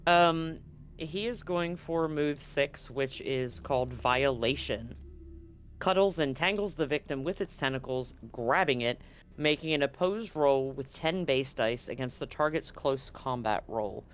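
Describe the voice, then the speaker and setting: A man's voice: mid-range voice, unhurried, fairly bright tone. A man speaking casually and with some swearing as game master of a tabletop role-playing game. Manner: casual, profane.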